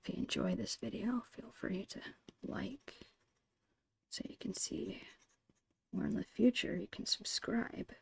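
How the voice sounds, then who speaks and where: A woman murmuring casual ASMR painting commentary in a whispery, creaky voice, unhurried and thinking aloud, close to the mic. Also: medium pitch, huge pitch swings. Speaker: ASMR digital art streamer. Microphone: close microphone, dry.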